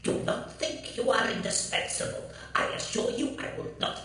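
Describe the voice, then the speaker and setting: An actor playing an old, mad, imperious sci-fi villain with a harsh, electronically treated rasp and a menacing, grandiose delivery in a radio drama. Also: male voice, normal speed, fairly bright tone. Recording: very echoey, distant, music underneath.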